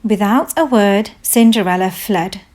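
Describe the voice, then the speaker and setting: A woman narrating a children's storybook in a measured, expressive read-aloud manner. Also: medium pitch. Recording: music behind the voice. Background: music.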